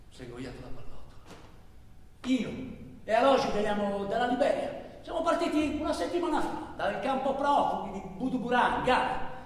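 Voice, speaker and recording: Actor as intense high-voiced man in echoing hall. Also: slight background noise, close to an everyday voice. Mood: grim, hushed. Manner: halting, repetitive delivery, swinging between shouts and murmurs. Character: intense male traveller-narrator recounting stranded migrants' hardships. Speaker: stage actor. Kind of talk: dramatic theatrical monologue in Italian. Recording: very echoey hall, distant microphone.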